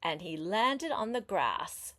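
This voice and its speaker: An older woman reading a picture book aloud to a child like a grandmother, unhurried and expressive, pausing to explain words and ask questions. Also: on the low side. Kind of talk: storybook read-aloud with chatty asides to a young listener.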